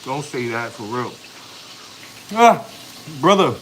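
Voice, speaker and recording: A man's voice: mid-range voice, sing-song, takes it slowly, noisy background. Slangy man in his thirties. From United States. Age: 30s. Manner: loose street slang, rambling and chatty. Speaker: family vlogger. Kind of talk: casual live-stream chat.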